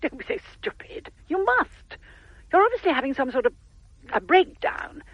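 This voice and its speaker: An actress playing a sharp, disapproving middle-aged mother, scolding curtly through a telephone filter in a radio drama. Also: sits high, sing-song, normal speed, no echo. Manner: scolding, curt. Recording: muffled, band-limited telephone line.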